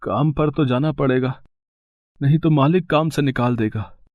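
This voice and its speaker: A man's voice: mid-range voice, a little slow, no echo, close to an everyday voice. A man voicing a meek, pleading poor labourer and father in a cartoon moral story, in a muffled recording. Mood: weary. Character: poor, humble, weary male labourer and father. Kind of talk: animated moral story voice acting.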